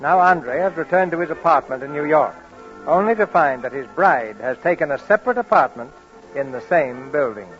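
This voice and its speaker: Male narrator, vintage radio drama, fairly high voice, faint music behind, muffled recording. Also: lively intonation, moderate pace, fairly bright tone. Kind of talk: 1940s scripted radio narration. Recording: dull 1940s radio broadcast.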